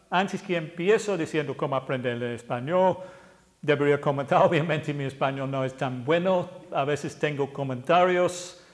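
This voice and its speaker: English man in his sixties, hesitant. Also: on the high side. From England. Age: 60s. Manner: hesitant, self-correcting, plain-spoken. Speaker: English teacher. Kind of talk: casual talk to camera.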